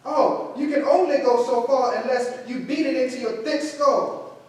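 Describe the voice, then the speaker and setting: A man's voice: extremely high pitch, steady tone, normal speed. A man playing a proud, embittered, stubborn actor, emphatic and colloquial, in a staged play reading. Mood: angry, defiant. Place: echoey room at a staged reading. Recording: very echoey room, distant microphone.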